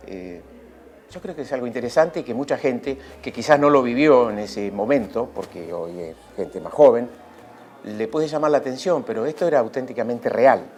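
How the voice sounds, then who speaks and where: A man's voice: medium pitch, lively intonation, moderate pace, darkish tone. Musing man in an interview. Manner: musing, searching for words. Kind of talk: reflective interview answer.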